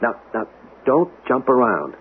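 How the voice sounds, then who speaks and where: Earnest, lively-pitched radio-drama man. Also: measured pace. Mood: absorbed. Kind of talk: 1970s radio drama acting. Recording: dull lo-fi radio, faint noise.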